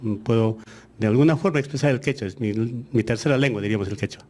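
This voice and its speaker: Mellow-voiced man, Aymara native speaker. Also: medium pitch. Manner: measured explaining, frequent hesitations. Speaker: education ministry official. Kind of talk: interview answers.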